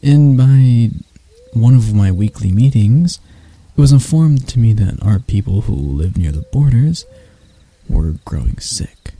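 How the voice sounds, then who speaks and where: A man playing a proud, mocking elf royal in an ASMR roleplay, speaking in a soft, breathy, husky voice with dramatic pauses. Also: medium pitch, lively intonation, low-quality recording. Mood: grave, explaining.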